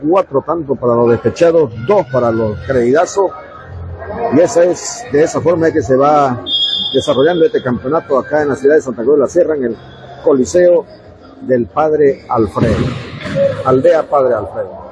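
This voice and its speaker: A man's voice: mid-range voice, moderate pace, music in the background. A man with an eastern Bolivian Spanish accent giving live radio commentary on a futsal match, talking conversationally to listeners. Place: indoor coliseum sports court, from the stands. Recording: very noisy live sports hall. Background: loud ambient noise of a futsal match.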